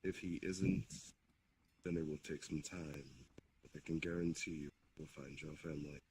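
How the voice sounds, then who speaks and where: A man playing a deep-voiced, courteous Demon King. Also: fairly even intonation, moderate pace, darkish tone. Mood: stately, commanding. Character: newly awakened male Demon King, stately, commanding, courteous host. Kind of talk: improvised virtual-reality roleplay. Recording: clearly noisy amateur voice-chat audio.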